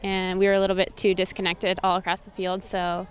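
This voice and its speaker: Woman in her twenties, soccer forward, quick speech, mid pitch, post-game interview, outdoor stadium. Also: monotone. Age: 20s. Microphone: handheld interview microphone.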